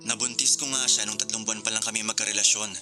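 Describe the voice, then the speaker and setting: A man's voice: lowish voice, little pitch movement, fast, over music, close to an everyday voice. A man playing a young engaged man in a radio drama, bantering yet hesitant and conflicted. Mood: regretful, resigned.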